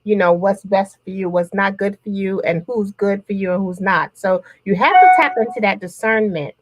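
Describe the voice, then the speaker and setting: A woman co-hosting a live online chat show who keeps up a steady stream of affirming replies. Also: mid-range voice, moderate pace, a little hiss or hum. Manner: supportive, frequent affirmations. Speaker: co-host.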